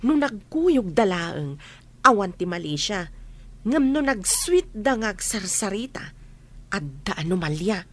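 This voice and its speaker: A woman's voice: medium pitch, big pitch swings, a little slow, fairly bright tone. A woman playing a brazen, stubborn gossip in an audio drama, arguing insistently and theatrically. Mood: nosy, accusing. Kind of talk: Filipino audio drama acting.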